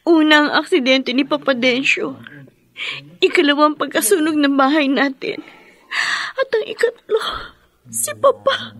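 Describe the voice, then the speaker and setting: A woman's voice: high-pitched, lively intonation, a little slow, fairly bright tone. A woman playing an anxious young wife and dutiful daughter in a horror radio drama, with emotional, tremulous delivery. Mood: anxious, distressed.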